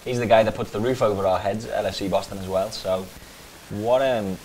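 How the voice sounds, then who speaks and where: A man co-hosting a casual chat, brisk and quick to chime in. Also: on the low side, fairly even intonation, a little mellow, a little hiss or hum, poor audio.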